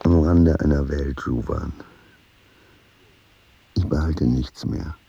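An actor playing a weary dying man in a radio play, musing haltingly and gravely, sometimes sinking into a breathy whisper. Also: extremely low pitch, steady tone, dark tone, dull audio, a little hiss or hum, exaggerated voice. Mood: brooding, resigned. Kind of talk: literary radio play monologue.

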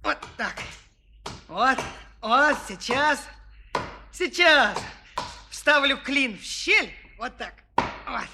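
An actor playing a good-natured, carefree young peasant son in a children's audio play, speaking in a cheerful, easygoing way. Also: male voice, extremely high pitch, animated, drawn-out words, long pauses, background noise, exaggerated voice. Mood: cheerful, helpful.

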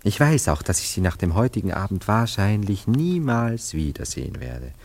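Low-pitched male voice, nostalgic self-absorbed romantic, theatrical radio play delivery, musing and savoring words. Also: very animated, moderate pace, a little mellow. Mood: rapt, nostalgic. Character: nostalgic, self-absorbed romantic man recalling past love affairs. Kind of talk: German radio play acting.

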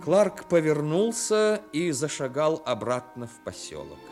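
An actor narrating a seaside New Year tale in a measured, literary manner for a 1960s radio play. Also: male voice, fairly high pitch, sing-song, music in the background. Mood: measured, expressive.

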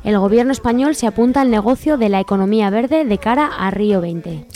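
A woman's voice: medium pitch, fairly quick, dark tone. A woman co-hosting a community radio program, reading headlines in a casual way. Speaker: radio co-host. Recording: faint music bed behind.

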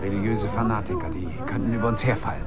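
Ein Schauspieler spielt in einem deutschen Hörspiel naturalistisch einen ernsten, beunruhigten Mann, der an seiner Wahrnehmung zweifelt. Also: male voice, on the low side, fairly even intonation, fast talker, a loud crowd, natural voice. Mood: wary. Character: troubled, doubting sober man unsettled by hallucinations. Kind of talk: naturalistic German radio drama acting. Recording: street and car noise behind.

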